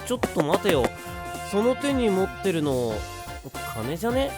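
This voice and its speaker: A woman acting a loud, cheerful, high-energy teenage schoolboy in a Japanese anime-style voice drama. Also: very animated, normal speed, music in the background, natural voice. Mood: curious. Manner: breezy, boisterous.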